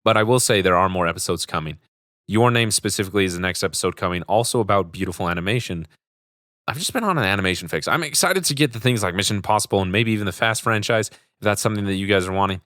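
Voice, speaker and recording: A man's voice: lowish voice, fast talker, fairly bright tone, no echo, clean studio sound. A man with a cold hosting a casual solo podcast, rambling and gushing enthusiastically, often repeating himself. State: sick with a cold. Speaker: podcast host.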